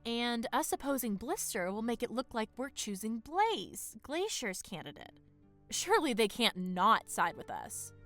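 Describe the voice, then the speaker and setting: A woman's voice: on the high side, sing-song, a little slow, a bit bright, faint music behind, full character voice. A woman playing a blunt, impatient female dragon warrior in an audio drama. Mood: scheming.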